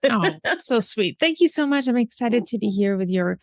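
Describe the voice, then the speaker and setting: A woman from Algeria telling her life story as a podcast guest, animated and laughing often. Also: fairly high pitch, moderate pace, no echo, clean studio sound. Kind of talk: casual interview chat.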